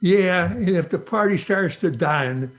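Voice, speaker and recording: A man's voice: high voice, expressive, measured pace, fairly bright tone, heavily acted. A man playing a cheeky, teasing young nephew in an old-time radio comedy.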